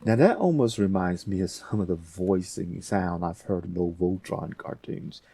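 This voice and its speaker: A man giving a casual software tutorial as host, rambling a little and thinking aloud as he talks through steps. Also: sits low, normal speed, over loud music.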